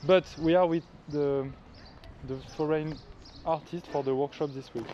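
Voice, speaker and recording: A man's voice: on the high side, steady tone, moderate pace, dark tone, dull audio, a little hiss or hum. A man, a student recording a podcast and speaking hesitant English.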